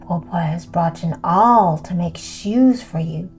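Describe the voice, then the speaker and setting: A woman's voice: on the low side, takes it slowly, creaky, a little mellow. A woman voicing a sly old male wolf who poses as a grandmother, coaxing and falsely affectionate, in a storybook reading. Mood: sweetly sly. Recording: music behind the voice.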